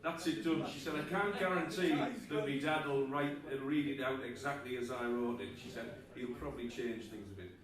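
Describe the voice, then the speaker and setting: Man rambling in a very echoey hall. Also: medium pitch. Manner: halting, rambling anecdote-telling. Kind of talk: informal stage chat with anecdotes. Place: folk festival hall, before an audience. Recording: very echoey hall, distant mic, audience.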